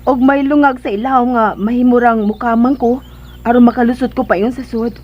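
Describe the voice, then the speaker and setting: A woman's voice: fairly high pitch, steady tone, a little fast, natural voice. A woman acting a timid, obedient young servant in a radio drama, with a Cebuano Filipino accent. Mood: hesitant, curious. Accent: Filipino, Cebuano-speaking. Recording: dull band-limited audio, loud music behind.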